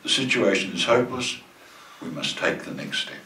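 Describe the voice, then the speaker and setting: A man's voice: sits low, lively intonation, normal speed, pushed and bright, a little hiss or hum. An older man, giving a wry, emphatic talk at a forum in an auditorium. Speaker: guest speaker. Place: auditorium with audience. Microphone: table mic. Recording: echoey hall, mic heard from a distance.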